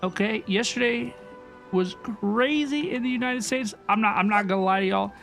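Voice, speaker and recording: A man's voice: sits high, normal speed, creaky, a bit bright. A man livestreaming a game, talking to viewers in a chatty, informal way and often repeating his phrases. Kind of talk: casual livestream chat and game reaction. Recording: faint game music behind voice. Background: video game music.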